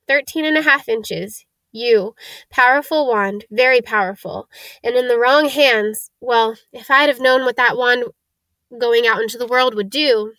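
A woman voicing an eerie, keenly knowing old male wandmaker from a storybook, soft-voiced and deliberate, with excitable exclamations. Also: a little high, dry recording, poor audio. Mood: musing. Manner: deliberate, clipped listing of details, exclamatory greetings. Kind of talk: storybook character reading.

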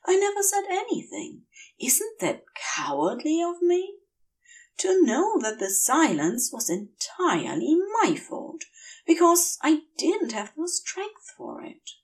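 A woman's voice: high voice, wildly swooping pitch, takes it slowly, recorded from a distance, voice pushed up, exaggerated voice. A woman voicing a prim, dazed male angel in an audiobook, speaking with polite, rambling earnestness and longing. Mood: hurried confessing.

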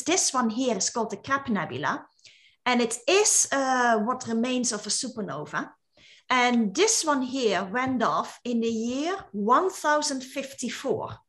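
A woman from the Netherlands, an astronomer explaining supernovas in a relaxed, enthusiastic way during an online call. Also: on the high side. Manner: enthusiastic, explanatory, some restarts. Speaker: astronomer guest.

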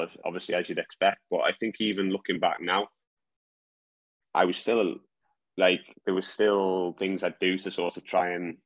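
A young man who stammers, talking casually about growing up with it, with many restarts. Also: sits low. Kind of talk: casual conversation. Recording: dull lo-fi sound.

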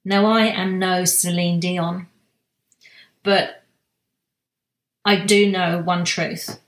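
A woman giving a slow, reflective spiritual talk, soft-spoken, with long pauses and restarts. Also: lowish voice, little pitch movement, reverberant. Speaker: spiritual guide sharing monthly energy reading.

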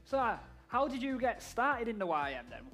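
A young man with a distinctly high voice co-hosts a podcast. His talk is chummy, casual banter, with music faintly audible underneath. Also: big pitch swings, a little fast, a bit bright. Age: young adult. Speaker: podcast co-host.

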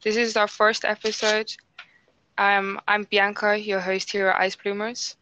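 Young woman host who grew up in england, bright smooth voice, chill chatty. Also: medium pitch, unhurried, dry recording, rough recording. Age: young adult. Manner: relaxed, many fillers like "like". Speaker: podcast host. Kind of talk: casual chat.

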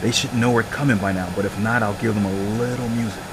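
A man's voice: lowish voice, steady tone, quick pace, close to an everyday voice. A man acting a brash visiting inspector in a sci-fi horror podcast, talking over vehicle noise. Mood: smug, warning. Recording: loud vehicle engine noise.

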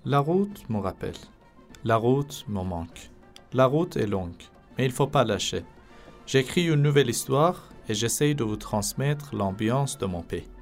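A man in his twenties from Iran, speaking French, hosting a podcast in calm, earnest narration with occasional hesitations. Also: mid-range voice, unhurried, faint music behind. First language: Persian. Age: 20s. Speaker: podcast host.

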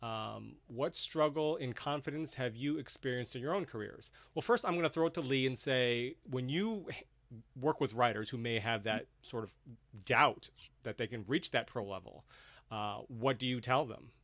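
A man's voice: mid-range voice, normal speed, fairly bright tone, no room sound. A man acting as host of a panel, reading out questions and passing them to guests. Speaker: host, moderator.

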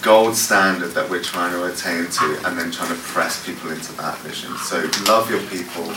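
A man's voice: sits low, steady tone, normal speed. A man leading a session at a church conference, speaking unscripted with many fillers and restarts. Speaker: worship leader leading a panel session. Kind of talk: unscripted talk answering questions. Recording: echoey room, distant mic, noisy.

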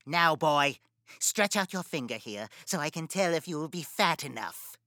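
A woman's voice: deep voice, lively intonation, moderate pace, bright, forceful tone, dry recording, studio quality, voice pushed down. A woman voicing a cruel, greedy old witch who scolds and sneers in a storybook reading. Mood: bossy.

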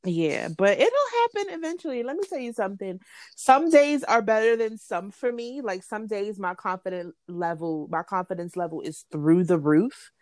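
A woman's voice: mid-range voice, lively intonation, normal speed, darkish tone, no room sound. A woman, co-hosting a casual chat, frank and pressing with her questions. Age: late 20s. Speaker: podcast co-host.

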